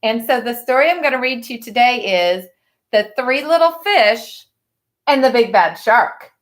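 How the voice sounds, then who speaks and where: A woman reading a picture book aloud to children in an expressive way, with chatty, teacherly asides to her listeners. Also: a little high, moderate pace. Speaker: storybook reader for children.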